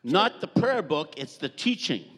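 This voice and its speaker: A middle-aged Canadian-born man preaching in short phrases, joking with the congregation and pausing for an interpreter. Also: on the high side, big pitch swings, fairly bright tone, husky. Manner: jovial, joking, pausing for translation. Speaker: visiting preacher. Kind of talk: sermon with live interpreter. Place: church hall. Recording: church hall reverb.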